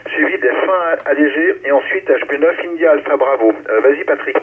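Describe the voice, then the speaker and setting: A man's voice: fairly high pitch, moderate pace, husky. A man acting as controller of an amateur radio round-table, handing the microphone along with call signs. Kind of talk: ham radio relay chat with call signs. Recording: phone-like, narrow amateur radio relay audio.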